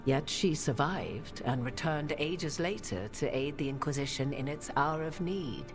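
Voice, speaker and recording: A woman playing an imperious, enigmatic sorceress in a fantasy game, with archaic, measured and knowing speech. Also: deep voice, animated, over music. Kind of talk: dramatic fantasy game dialogue.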